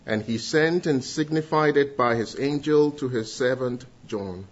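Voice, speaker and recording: Ghanaian man, middle-aged, earnest church testimony, muffled recording. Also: medium pitch. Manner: earnest, with filled pauses and restarts. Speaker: guest speaker giving testimony.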